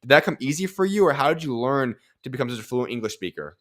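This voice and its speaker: A man's voice: medium pitch, expressive, quick pace, fairly bright tone. A young man hosting a boxing interview from a studio desk microphone, asking long, detailed questions. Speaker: host.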